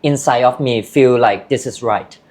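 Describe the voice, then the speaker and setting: A woman's voice: extremely low pitch, moderate pace, a little mellow. Candid Thai-accented woman guest. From Thailand. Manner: open, candid talk in loose, broken English. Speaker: interview guest. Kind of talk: casual interview chat.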